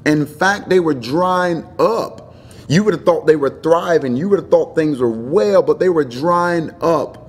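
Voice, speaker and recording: A man's voice: a little high, a little slow, husky. Emphatic middle-aged preacher man. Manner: earnest, emphatic, repeats phrases for emphasis. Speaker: pastor. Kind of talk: sermon, Bible teaching.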